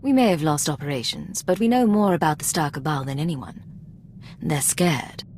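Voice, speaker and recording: An actress plays a determined imperial spy in a video game. Her voice sits low for a woman, and she speaks formally and firmly, with tight control. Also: moderate pace, darkish tone, slight background noise, natural voice. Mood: controlled, firm. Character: composed, determined female imperial secret agent.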